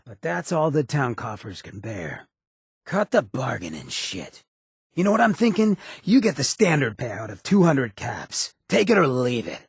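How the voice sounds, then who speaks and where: A sardonic ghoul outlaw from a video game, with a heavily rasping, creaky voice. He is sly, laid-back and streetwise, a swaggering town boss who talks in slang. The sound is close and dry. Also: mid-range voice, huge pitch swings, unhurried, no echo, full character voice. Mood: wry bargaining. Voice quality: gravelly, raspy. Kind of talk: video game companion voice lines.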